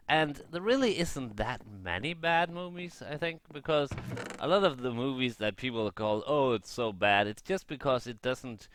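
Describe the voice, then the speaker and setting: A man's voice: lowish voice, pushed and bright. A man giving casual gameplay commentary, rambling and thinking aloud as he shares blunt opinions. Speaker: gaming vlogger.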